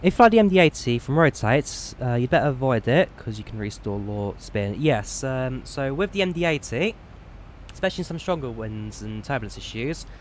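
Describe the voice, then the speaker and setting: A man streams a flight simulator with casual, chatty commentary. His voice sits at a middle pitch and he pokes fun at himself as he goes. A light cabin drone runs behind him. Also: animated, brisk, slight background noise. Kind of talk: casual live stream commentary while flying.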